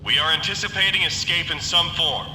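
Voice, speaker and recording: A man gives a formal, measured emergency announcement in an audio drama. His very bright, forceful voice echoes through a distant loudspeaker, with a faint rumble underneath. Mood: formal, grave. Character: formal official making a site-wide emergency announcement. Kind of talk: scripted public-address announcement.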